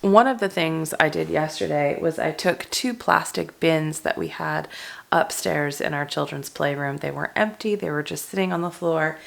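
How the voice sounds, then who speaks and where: A hoarse woman with a low, rough, fry-laden voice talks casually through her home pantry project. She rambles a bit and fills gaps with ums, sounding like she's nursing a cold. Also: moderate pace. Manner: chatty, rambling with many ums. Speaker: homestead vlogger. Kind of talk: casual walkthrough vlog. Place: converted dining room pantry, indoors.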